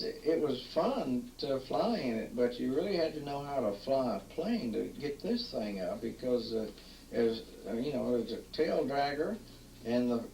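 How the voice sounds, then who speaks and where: A man, casually narrating old family home movies at home with many halting pauses and restarts. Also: medium pitch, gravelly, dark tone. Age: 40s. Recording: roomy, distant, faint hiss, 1980s home tape.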